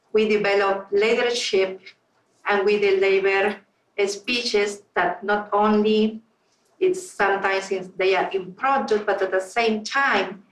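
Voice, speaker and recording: Peruvian lady, spanish accent, earnest club talk, kinda far off in a roomy space. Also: mid-range voice. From Peru. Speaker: club member. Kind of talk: informal speech to a club meeting. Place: meeting room. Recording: roomy sound from a distant mic.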